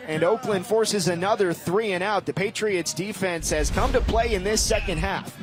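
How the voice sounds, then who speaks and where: A man's voice: high-pitched, moderate pace. A man calling live sports play-by-play in clipped, vivid phrases. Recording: noisy live game broadcast.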